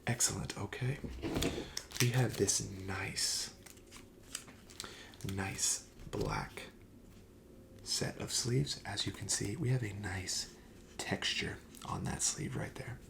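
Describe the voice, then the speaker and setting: A man speaking softly in a breathy half-whisper, playing a gentle, polite shop clerk in an ASMR roleplay. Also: lowish voice, big pitch swings, takes it slowly, long pauses. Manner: gentle, polite, attentive salesman.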